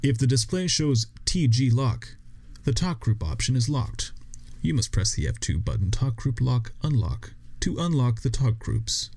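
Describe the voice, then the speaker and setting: A man reading a scripted instructional narration in a measured, step-by-step way as a training video narrator. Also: mid-range voice, very animated, darkish tone.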